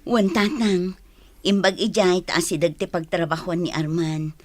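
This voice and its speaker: A woman's voice: lowish voice, fairly even intonation, measured pace, no echo. A woman playing a stern, domineering mother of many children in a radio drama, with a commanding manner. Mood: matter-of-fact. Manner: commanding, scolding.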